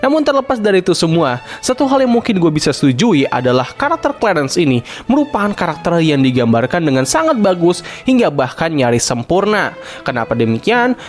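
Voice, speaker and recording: A man's voice: fairly high pitch, very animated, fairly quick. A young man narrating a scripted cartoon review in casual slang, opinionated and chatty. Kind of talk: scripted video-essay narration. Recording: voice-over with faint background music.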